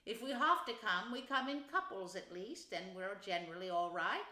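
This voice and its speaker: A woman's voice: medium pitch, lively intonation, normal speed, pushed and bright, roomy sound. A woman voicing a brave, good-natured male water rat in a storybook, with a cheery, emphatic and reassuring manner. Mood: soothing, advising.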